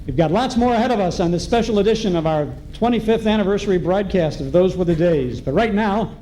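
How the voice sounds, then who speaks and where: Male radio host at a live event, mellow voice, bit high, slight background noise. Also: expressive, quick pace, rough recording. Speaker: radio show host and master of ceremonies. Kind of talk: live event hosting, nostalgic commentary.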